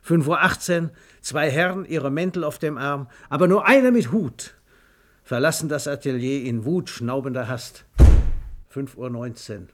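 A man's voice: on the high side, a little slow. A man reads a scripted radio story in a theatrical, emphatic storytelling manner. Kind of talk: dramatic scripted storytelling narration.

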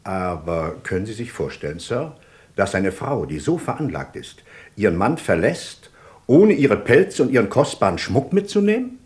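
An actor playing a courteous but persistent, suspicious British police inspector in a radio play, speaking formally and with polite insistence. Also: male voice, fairly low pitch, big pitch swings, moderate pace, a little mellow, slightly rough. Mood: firm, suspicious. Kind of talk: German radio play dialogue.

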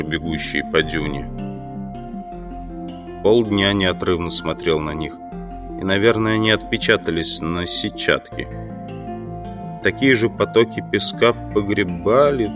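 A man playing a trapped, brooding entomologist in an audio drama, with deliberate, dramatic phrasing. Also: fairly low pitch. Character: trapped male entomologist, indignant, brooding, rational. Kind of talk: audio drama acting with inner monologue. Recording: music behind the voice.

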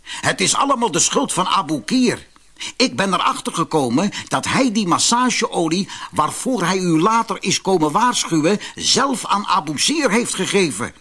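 A man's voice: high-pitched, very animated, normal speed, pushed and bright, breathy edge, pitched above the performer's own voice, exaggerated voice. A man voicing a firm, plain-spoken ship's captain in a fairytale audiobook.

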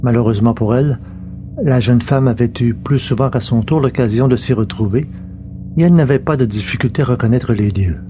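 A man's voice: mid-range voice, a little fast. A man reading scripted narration for an audio story, as its narrator. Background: loud music.

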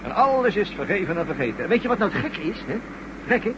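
Animated, chummy colleague, muffled. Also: male voice, high voice, quick pace. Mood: confiding. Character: chummy, reassuring male colleague. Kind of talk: radio drama acting. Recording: muffled, noisy old radio recording.

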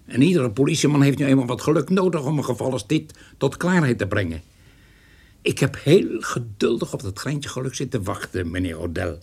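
A shrewd police investigator in a radio drama. His mid-range voice is a little rough, and his speech is formal and measured, patient while applying calm pressure. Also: mid-range voice. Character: shrewd, patient male police narcotics investigator, calmly coercive. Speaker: actor in a radio play. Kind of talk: Dutch radio drama acting.

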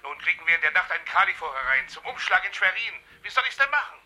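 A man's voice: high voice, very animated, fast talker, bright, forceful tone, heavily acted. An actor playing a chatty, matter-of-fact male railway dispatcher talking over the telephone in a 1980s German radio drama. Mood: bargaining. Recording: telephone voice, music behind.

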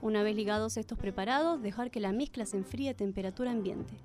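An actress playing an earnest, polite, hesitant young niece in a radio drama. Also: female voice, medium pitch, quick pace, a little mellow, faint music behind, natural voice. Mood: focused.

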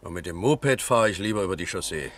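An actor playing a plain-spoken, hesitant village cattle-barn worker in a German radio crime drama. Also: male voice, low voice, animated, fast talker, fairly bright tone, a little hiss or hum. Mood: matter-of-fact. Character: village cattle-barn worker, startled but helpful witness.

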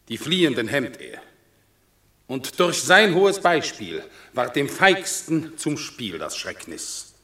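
A stately Roman commander with a bright, forceful voice. He declaims slowly and solemnly, pausing for weight, as in classic radio theatre. The room rings with echo. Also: medium pitch. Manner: solemn, declamatory, emphatic pauses. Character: stately Roman general delivering a solemn battle eulogy. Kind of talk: classic German radio play, declamatory stage acting. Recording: echoey radio drama studio.

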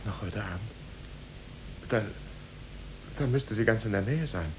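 An actor playing a humble, lovesick young merchant's son, earnest and deferential, in a 1950s German radio play. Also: male voice, unhurried, natural voice. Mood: hopeful. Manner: deferential, solemn, fervent. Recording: 1950s radio recording with faint rumble.

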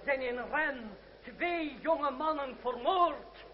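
Very high-pitched fiery revolutionary orator. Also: male voice, slow, slight background noise. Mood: indignant. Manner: declamatory, solemn, rhetorical. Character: fiery revolutionary orator rousing townspeople to arms. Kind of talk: radio drama oratory. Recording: muffled old radio recording, crowd around.

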